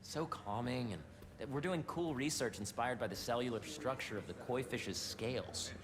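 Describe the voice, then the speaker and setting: A man's voice: medium pitch, moderate pace, crowd noise, close to an everyday voice. An actor playing a chatty, playful young man giving a friend a tour in a game cutscene. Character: young male tech heir, upbeat and playful host of a tour. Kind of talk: video game cutscene acting. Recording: reverberant hall with ambient noise.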